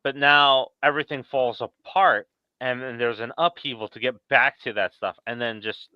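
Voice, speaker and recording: A man's voice: medium pitch, steady tone, moderate pace, clean tone, no room sound, lo-fi sound. A man hosting a podcast, chatting casually about horror films. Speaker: podcast host.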